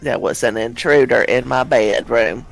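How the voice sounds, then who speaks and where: A creaky-voiced don played by a man speaks in a plaintive deadpan. He sounds mock-innocent and wronged, role-playing in a game with music behind him. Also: medium pitch, normal speed, close to an everyday voice, rough recording. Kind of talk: improvised game role-play.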